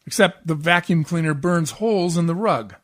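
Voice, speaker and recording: Casting: man playing a henpecked 1940s radio-comedy husband, weary and sleepy, exasperated, quick with sarcastic wisecracks. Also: on the high side, close to an everyday voice.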